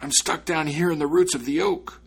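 A man voicing a tiny trapped spirit, high, muffled and strained, pleading and wheedling in a storybook tale. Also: steady tone, moderate pace, creaky, breathy edge. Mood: desperate.

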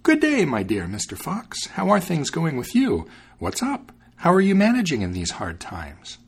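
A man's voice: a little high, huge pitch swings, normal speed, vocal fry, breathy edge, pitched above the performer's own voice, full character voice. A man doing a polite sweet cat. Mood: friendly. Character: modest friendly female cat.

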